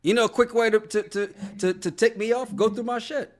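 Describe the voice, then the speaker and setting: A man's voice: sits high, steady tone, brisk. A man co-hosting a casual podcast, chatting loosely, reading viewer comments aloud, laughing often and repeating words. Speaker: podcast co-host. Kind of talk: casual chat reading live chat.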